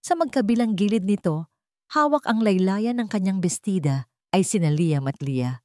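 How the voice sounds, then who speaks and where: A woman narrating a scripted romance drama in a measured, sentimental way. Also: medium pitch, lively intonation, darkish tone, dry recording, clean studio sound. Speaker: story narrator.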